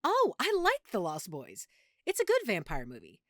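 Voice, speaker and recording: Woman acting as nerdy affectionate girlfriend in audio rp, super animated pitch, dry close sound, playful and a bit fussy. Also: moderate pace, dry recording. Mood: teasing, fond. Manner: playful, fussy, expressive, explanatory. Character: affectionate, nerdy, opinionated girlfriend, vampire lore buff. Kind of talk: audio roleplay, intimate one-sided conversation.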